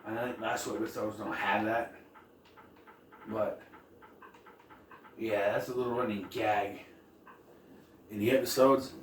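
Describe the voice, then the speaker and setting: A man giving a casual, rambling, improvised recap as a reviewer of an animated series. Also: on the low side, measured pace, long pauses. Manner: loose, improvised, acting out quoted bits. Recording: faint background noise.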